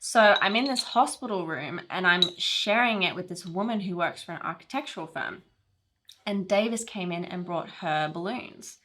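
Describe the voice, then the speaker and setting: Woman acting gushy newlywed gal in a romcom, bright voice, spilling her story conversationally. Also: on the low side, normal speed, close to an everyday voice. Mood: earnest explaining. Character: chatty newlywed woman spinning a romantic tale. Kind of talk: film romantic comedy monologue.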